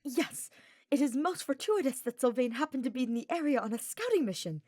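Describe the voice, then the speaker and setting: Woman as an earnest, high-voiced young male knight. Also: sing-song, brisk, a little mellow, dry recording, clean studio sound. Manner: formal, fretful, emphatic diction.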